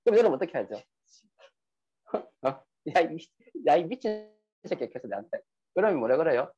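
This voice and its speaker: A man's voice: on the high side, big pitch swings, moderate pace. A man giving a lecture, asking the audience rhetorical questions and acting out quoted dialogue. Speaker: speaker giving a talk on sales and emotions. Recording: dull lo-fi recording.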